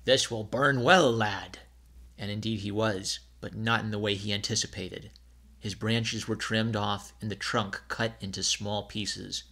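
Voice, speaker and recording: A man's voice: lowish voice, flat delivery, measured pace, vocal fry, pushed and bright. A man narrating a scripted children's story as a storybook reader.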